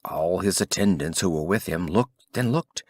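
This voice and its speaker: A man reading a scripted fairy tale as an audiobook narrator, in an expressive, theatrical storytelling manner. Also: low voice, moderate pace, dry recording.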